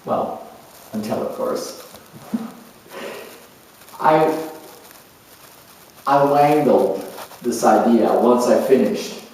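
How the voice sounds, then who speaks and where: A man acts out a young man weighed down by life and resentful of his father. His monologue moves slowly and haltingly, with a world-weary edge. The voice sits at some distance from the mic in a heavily reverberant room. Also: medium pitch, a little mellow, lightly acted. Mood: defiant, carefree. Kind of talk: dramatized monologue.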